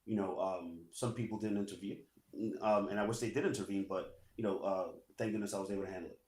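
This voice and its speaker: A man, a Spanish speaker, telling his story casually in an interview and filling pauses with "you know". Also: low-pitched. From New York. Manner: relaxed, many "you know" fillers. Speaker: guest, assistant principal. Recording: echoey room.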